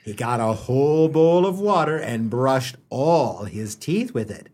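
A wry, mock-solemn humorist acted by a man narrates a comic radio play. The voice is a little rough and swings widely in pitch, leaning hard on key words with theatrical relish. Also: lively intonation, unhurried, darkish tone. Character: wry humorist narrator gently mocking a boy's gifts. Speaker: storytelling narrator.

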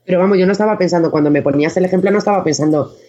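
A woman giving thoughtful interview answers in a hesitant way, pausing to search for words. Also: on the low side, warm tone, muffled recording. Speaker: interview guest, audio fiction maker.

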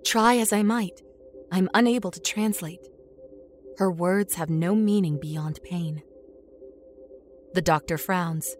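A woman acting a haunted, introspective captive with no memory, narrating a horror audio story in a measured, brooding way. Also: on the low side. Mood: tense, brooding. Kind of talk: first-person scripted horror audio story. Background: music score under the voice.

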